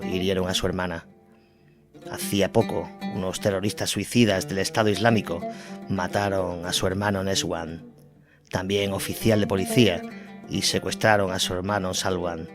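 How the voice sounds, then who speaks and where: A man narrating a scripted documentary war account. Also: low-pitched. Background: guitar music.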